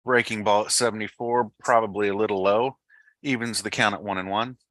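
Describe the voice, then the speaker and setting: A man's voice: on the low side, fairly even intonation, fairly quick, fairly bright tone, dry recording. A man giving live baseball play-by-play commentary in clipped, matter-of-fact phrases.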